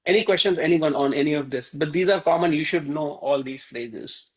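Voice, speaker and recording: A man from India leads an online Hindi class over a video call. He rambles and fills his talk with "you know" and "like". His voice sits fairly high for a man. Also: fairly quick. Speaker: Hindi teacher.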